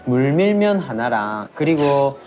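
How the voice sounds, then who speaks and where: A young man voicing a loud, high-pitched customer ordering food in a joking put-on dialect. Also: sing-song, soft background music. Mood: playful. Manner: exaggerated, shrill, drawn-out.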